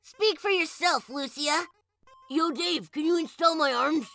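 A woman voicing an earnest, eager young monster child in a comic dub, with expressive delivery. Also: sits high, measured pace, soft background music, exaggerated voice. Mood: cheerful. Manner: expressive, exclamatory.

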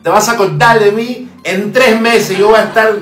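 A man's voice: sits high, steady tone, normal speed, fairly bright tone, echoey room, soft background music, pitched above the performer's own voice. A man playing a boastful young Cuban male actor with a Cuban accent, bombastic and exaggerated.

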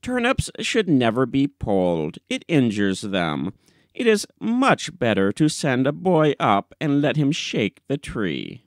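A man voicing an austere, indignant old gentleman who questions testily in an audiobook story. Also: medium pitch, wildly swooping pitch, takes it slowly, dry recording, clean studio sound, voice pushed up, exaggerated voice. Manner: stern, testy questioning.

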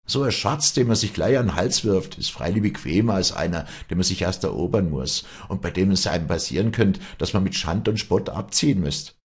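A man's voice: sits low, expressive, fairly quick, hardly any pauses, husky, voice pushed up. A man voicing a proud, hot-tempered, jealous young peasant woman in Alpine Bavarian dialect, scornful and hectoring, in a storybook reading. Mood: bitter mockery.

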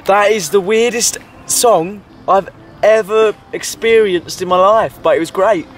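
A man's voice: high voice, unhurried, soft background music. A young man chatting playfully outdoors, laughing easily, filmed on a handheld phone. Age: young adult. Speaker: guest. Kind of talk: casual chat. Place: outdoor park on a housing estate.

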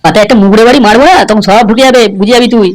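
An actor voicing a coarse, excitable male henchman, scolding and brash, in a comedy cartoon. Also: mid-range voice, natural voice, low-quality recording. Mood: scolding, threatening. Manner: brash, scolding, rapid-fire. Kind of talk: Bengali comedy cartoon voice acting. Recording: music behind the voice.

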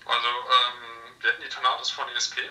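A man giving customer advice over the phone as a shop salesman, polite and matter-of-fact but hesitant, heard through a loudspeaker. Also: medium pitch, completely flat delivery, fast. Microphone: phone loudspeaker picked up in room. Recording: echoey phone on speaker, distant.

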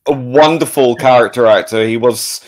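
Man, casual guest, hesitant, medium pitch, remote call. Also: normal speed. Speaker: podcast guest, audio drama producer. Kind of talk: casual chat. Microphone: remote video call.

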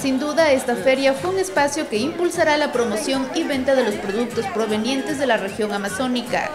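Brief: high-pitched female news reporter, formal measured read, music bed behind. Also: a loud crowd. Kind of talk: scripted news narration. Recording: voice-over with loud music bed.

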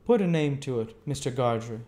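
A man's voice: medium pitch, expressive, quick pace, mellow, pitched above the performer's own voice, natural voice. A man reading aloud voices a secretive, cunning male stranger who asks probing, sly questions.

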